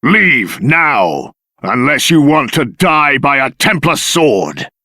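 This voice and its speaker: A man's voice: medium pitch, very animated, takes it slowly, sharp tone, no echo, clean studio sound, full character voice. Stern, swooping-voiced haughty guardsman. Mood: disdainful, impatient. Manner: stern, disdainful. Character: haughty, impatient Templar guard. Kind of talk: video game character acting.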